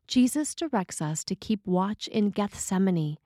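A woman delivers a scripted sermon as a priest would, measured and formal. Her voice sits at a middle pitch, recorded close and dry with very little room sound. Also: lively intonation, mellow, no echo.

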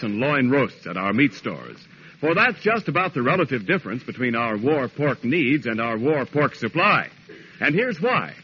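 American male announcer, lively swings in pitch, bright polished emphasis, muffled 1940s radio sound. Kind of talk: 1940s radio announcing, scripted sponsor read. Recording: noisy muffled vintage radio recording.

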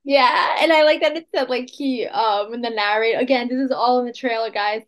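A young woman co-hosting a podcast, chatting casually in an animated way. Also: fairly high pitch, fairly quick. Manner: animated, laughs easily. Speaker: podcast co-host. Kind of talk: casual chat.

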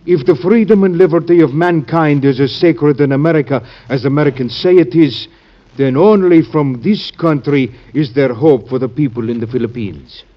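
A man's voice: on the high side, normal speed, natural voice. Humble, fervent male petitioner, acted. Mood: earnest pleading. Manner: solemn, careful, formal diction. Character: humble, fervent male islander pleading for his people. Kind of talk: classic American radio drama acting. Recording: dull band-limited old radio recording.

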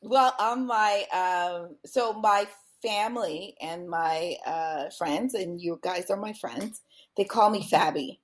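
A middle-aged woman doctor, whose first language is French, telling her story in a chatty, animated way with many fillers and restarts. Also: on the low side, takes it slowly. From born in Brooklyn, raised in Montreal. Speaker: guest obstetrician. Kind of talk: casual interview chat.

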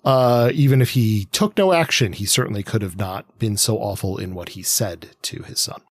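A man co-hosting a podcast, explaining his reading of a story in a measured, analytical, conversational way. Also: lowish voice, slightly rough, no echo. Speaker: podcast co-host. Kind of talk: conversational literary discussion of a comic.

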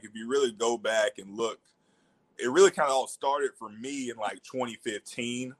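Young male interview guest from Alabama, fairly low voice, informal and chatty. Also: fairly bright tone, rough recording. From Alabama, United States. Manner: informal, peppers talk with "you know". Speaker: interview guest, media professional. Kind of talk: casual chat.

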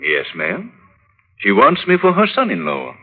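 A courtly, deferential lawyer in a vintage radio play, flattering and evasive. His slightly husky voice swings widely in pitch through a thin, phone-like old recording. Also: unhurried, exaggerated voice. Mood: meek, courtly. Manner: courtly, deferential, clipped replies. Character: meek, flattering married lawyer, a wavering suitor. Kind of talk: old-time radio drama acting.

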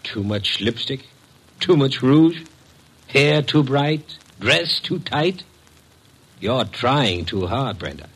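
A man's voice: medium pitch, lively intonation, slow pace, fairly bright tone, husky, full character voice, rough recording. An actor in a classic radio drama playing a cruel, contemptuous executive in his thirties with cutting, emphatic delivery. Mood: sneering, contemptuous. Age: 30s. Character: cruel, contemptuous young male advertising executive.

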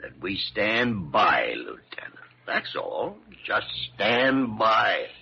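A man's voice: lowish voice, sing-song, takes it slowly, gravelly, full character voice. A male actor playing a stubborn, protective older doctor in a classic radio drama, with a crusty, emphatic delivery. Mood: protective, grave. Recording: dull, noisy old radio recording.

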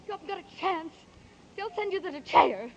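An actress playing a devoted young woman in love, speaking in breathy, intimate whispers and anxious pleas in a 1940s radio drama. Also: extremely high pitch, lively intonation, fairly quick, over a noisy crowd. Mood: pleading. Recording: dull lo-fi 1940s radio broadcast.

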